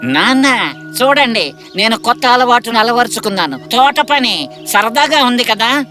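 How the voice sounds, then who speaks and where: A man voicing a naive young prince in an animated fairy tale, boyish, earnest and a little petulant. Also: very high-pitched, measured pace, soft background music, full character voice. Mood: eager.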